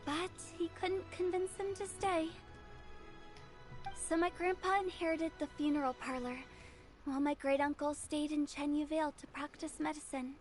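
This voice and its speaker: Woman as a high-pitched young funeral director. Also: steady tone, normal speed, soft background music, close to an everyday voice. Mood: storytelling. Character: young female funeral director, suspicious, candid and perky. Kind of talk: video game voice acting.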